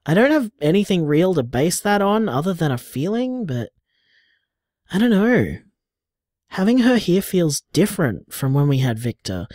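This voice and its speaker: An actor plays a devoted partner in an audio drama, leaving intimate voicemails in a mid-pitched voice. The delivery is candid and conversational, with lively swings of pitch, recorded close and dry. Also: no room sound, natural voice. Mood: tender, hopeful. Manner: candid, tender, conversational, sighing, mild swearing. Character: affectionate, emotional partner leaving voicemails for lover.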